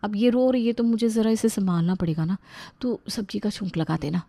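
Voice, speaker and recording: A woman's voice: mid-range voice, a little fast, vocal fry, dark tone, no room sound. A woman voicing a bossy, dramatic young sister-in-law, emphatic and indignant in a story. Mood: breezy, bossy.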